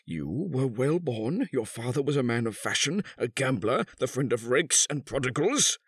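A vindictive old husband, pitched far above a normal low register and swinging wildly. The voice is husky and slow, declaiming each bitter accusation in high-strung audiobook melodrama. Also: male voice, big pitch swings, no echo, studio quality. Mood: bitter, accusing. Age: 60s. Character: furious, vindictive old English knight and wronged husband. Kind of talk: dramatic audiobook character reading.

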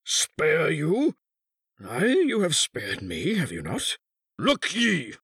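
A man voicing a bitter, high-strung old knight in his sixties in a dramatic audiobook reading. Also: wildly swooping pitch, takes it slowly, fairly bright tone, breathy edge, no echo, studio quality, full character voice. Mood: scornful, vengeful. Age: 60s. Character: furious, vindictive old English knight and wronged husband.

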